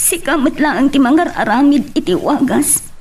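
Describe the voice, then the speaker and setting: Woman playing young wife, high-pitched, hurt, long-suffering, Ilocano radio drama. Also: lively intonation, normal speed, darkish tone, a little hiss or hum. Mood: wounded, pleading.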